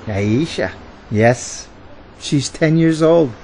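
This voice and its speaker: A man's voice: a little high, animated, extremely slow, darkish tone, slight background noise, full character voice. An actor playing a self-satisfied man in his fifties, earnestly defending a cause. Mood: pleased, earnest. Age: 50s. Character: self-satisfied fifty-two-year-old male zealot for a cause.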